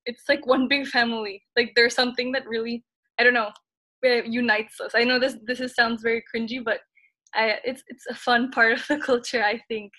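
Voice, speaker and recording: A woman's voice: on the high side, level tone, fairly quick, bright, forceful tone. A teenage girl from El Salvador hosting a casual podcast chat, chatty and upbeat with many fillers. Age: teens. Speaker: podcast host.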